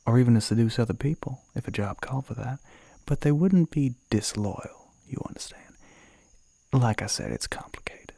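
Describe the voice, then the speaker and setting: A man playing a suave, flirty male demon in an ASMR roleplay, with a Southern American accent, a breathy, gravelly voice that often drops to a whisper, and a teasing, coaxing drawl. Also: medium pitch, expressive, moderate pace, warm tone, dry recording. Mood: explaining, earnest.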